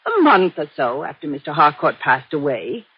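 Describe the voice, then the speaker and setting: An actress playing an anxious, loyal older housekeeper in a 1950s radio drama, fretful and flustered. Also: female voice, deep voice, sing-song, normal speed, slightly rough, full character voice. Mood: earnest, worried. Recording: dull vintage 1950s radio recording.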